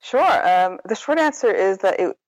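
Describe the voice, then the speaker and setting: A woman's voice: mid-range voice, expressive, moderate pace, no room sound, low-quality recording. A woman author speaking in an interview, thoughtful and self-correcting, often restarting her sentences. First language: Korean. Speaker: author guest. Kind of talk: casual academic interview.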